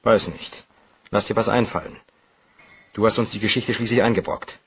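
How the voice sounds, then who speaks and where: Low-voiced anxious guilty bank clerk. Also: male voice, steady tone, normal speed, a bit bright. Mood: tense, resolute. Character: nervous guilty young bank clerk, embezzler, anxious and defensive. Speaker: radio drama actor. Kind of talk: German crime radio play acting.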